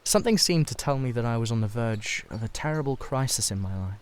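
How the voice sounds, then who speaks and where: A man acts out a Victorian gentleman artist from a classic novel. He speaks at a middle pitch with intense, confessional earnestness, hesitating as he confides. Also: expressive, fast, clean tone, close to an everyday voice. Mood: troubled, confiding.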